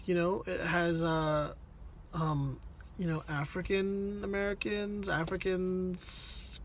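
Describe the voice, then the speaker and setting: A man's voice: sits high, level tone, slow pace, slight background noise. A man giving a casual conversational movie review, hesitant and rambling as he searches for words. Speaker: film reviewer on a video channel.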